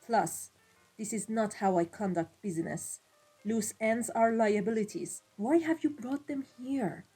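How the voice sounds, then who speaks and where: A woman playing a cold, commanding vampire mafia boss in an ASMR roleplay, speaking in a deliberate, imperious way. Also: on the high side, warm tone, husky. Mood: stern, impatient. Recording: music behind the voice. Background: classical background music.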